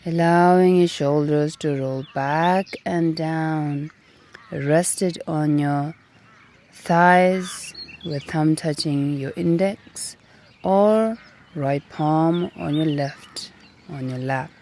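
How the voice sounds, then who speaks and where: Woman, lowish soft-toned voice, unhurried guided meditation, calm and gentle, outdoors with birdsong. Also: a little hiss or hum. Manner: calm, gentle, long pauses between short phrases. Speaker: meditation and yoga teacher. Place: outdoors in nature. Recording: outdoor recording with birdsong behind. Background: birdsong and natural ambience.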